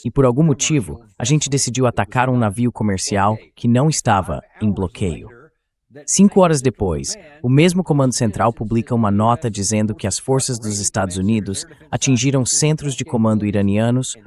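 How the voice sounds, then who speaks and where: A man speaks as the host of a political commentary show, in a translated voice-over. His pitch sits in the middle range for a male voice, and he sets out his points as spoken commentary. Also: lively intonation, a little fast, a little mellow.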